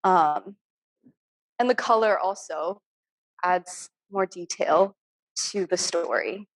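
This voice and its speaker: A woman's voice: fairly low pitch, slow, poor audio. Earnest young woman on a video call. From Turkey. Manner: frequent ums, thoughtful and earnest. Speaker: artist. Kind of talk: casual talk about her artwork. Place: home room on a video call.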